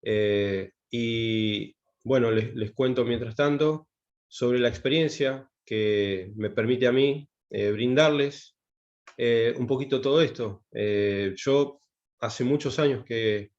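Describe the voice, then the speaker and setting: A man's voice: medium pitch, takes it slowly, darkish tone, lo-fi sound. Hesitant man in his fifties. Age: 50s. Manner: hesitant, many fillers and restarts. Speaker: taekwondo instructor teaching English. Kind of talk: online class presentation.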